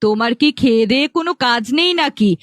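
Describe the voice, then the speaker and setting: A woman playing a sharp-tongued mother who dotes on her spoiled daughter, in a melodramatic cartoon fairy-tale style. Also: fairly high pitch, animated, normal speed, dry recording, clean studio sound, lightly acted. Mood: annoyed, harsh. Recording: faint background music.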